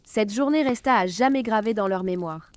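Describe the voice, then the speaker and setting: A woman narrating a children's Christmas story in a gentle storytelling manner. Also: mid-range voice, moderate pace. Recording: music bed behind narration.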